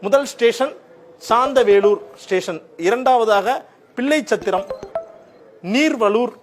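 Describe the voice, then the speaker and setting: A man reports the news in a distinctly high voice. He explains details step by step, as if guiding viewers through graphics. His wording is semi-formal, moving between report phrasing and everyday speech.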